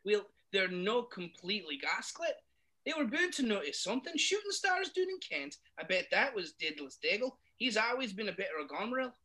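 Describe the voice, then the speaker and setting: A man reading a story aloud, putting on a stern, irritable middle-aged Scottish witch in a high falsetto, set back from the mic. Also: big pitch swings, a little fast, pushed and bright, exaggerated voice, rough recording. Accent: Scottish, put on. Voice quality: high strained falsetto with thick Scots words.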